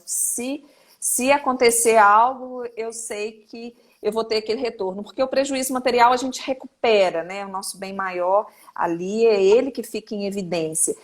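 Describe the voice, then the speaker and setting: A middle-aged woman hosting a live legal talk stream, chatty and laughing easily. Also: medium pitch. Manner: warm, chatty, laughs often. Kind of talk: casual live-stream chat.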